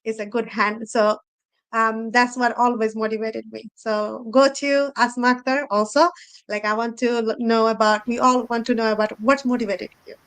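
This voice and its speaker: A woman's voice: on the high side, flat delivery, moderate pace, a bit bright, low-quality recording. A woman speaking non-native English, giving an earnest, unscripted motivational talk as a host on a panel, often repeating herself in loose phrasing. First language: not English. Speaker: host and speaker on a women entrepreneurs' live panel.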